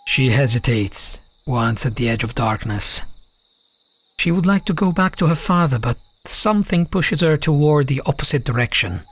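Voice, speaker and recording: A man's voice: medium pitch, animated, normal speed, breathy edge. A man reading a scripted fantasy story as an audiobook narrator.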